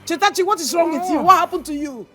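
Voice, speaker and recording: A woman's voice: very high voice, fast, music in the background. An actress playing a distraught mother, wailing and pleading.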